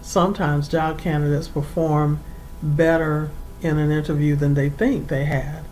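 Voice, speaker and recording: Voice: level tone, measured pace, a little mellow, poor audio. Formal career coach over background noise. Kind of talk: instructional advice to camera, semi-scripted. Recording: clear background noise with low rumble.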